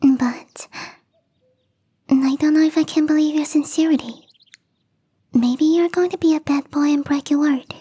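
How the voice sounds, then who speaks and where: A woman voicing a young catgirl gardener in an ASMR roleplay, teasing, coy and playfully smug, with a breathy, whispery voice. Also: sits high, fairly even intonation, unhurried, long pauses, dark tone. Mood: sly, mock threatening. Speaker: voice actress.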